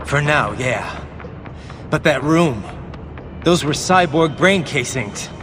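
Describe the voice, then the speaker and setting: An actor playing a grim, determined cyborg soldier hero in a video game, terse and intense. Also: male voice, animated, slow, over music. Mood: somber. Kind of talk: video game cutscene acting. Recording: clear background noise, vehicle-like rumble.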